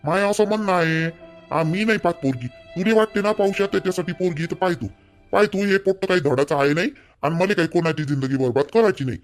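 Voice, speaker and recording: Stern high-pitched Vidarbha village father, acted by a man. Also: fast, faint music behind, lightly acted. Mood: stubborn, exasperated. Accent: Vidarbha Marathi. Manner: scolding, repeats rhetorical questions. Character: stern, exasperated village father scolding his son. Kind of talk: village cartoon dialogue.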